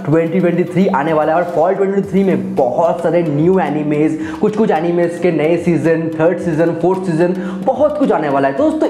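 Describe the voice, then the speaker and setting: Mellow young man, echoey room. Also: sits high. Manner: enthusiastic, repetitive, addresses viewers as friends. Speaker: anime review YouTuber. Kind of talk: casual video commentary. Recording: roomy echo.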